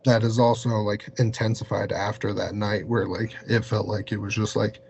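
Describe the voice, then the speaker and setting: Guy with lowish voice, rambles and stalls a lot, casual chat, muffled mic w faint hum. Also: level tone, creaky. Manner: halting, rambling, heavy use of "like". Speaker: podcast interviewer. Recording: dull lo-fi mic with hum and hiss.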